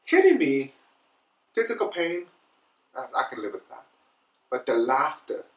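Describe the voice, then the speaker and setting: A man speaking earnestly and emphatically in a documentary interview about being bullied. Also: medium pitch. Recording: dull, distant, echoey recording.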